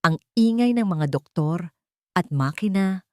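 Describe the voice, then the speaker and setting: A woman's voice: lowish voice, expressive, a little slow, no room sound, studio quality. A woman narrating an audio drama in a scripted, emotional and emphatic storytelling style.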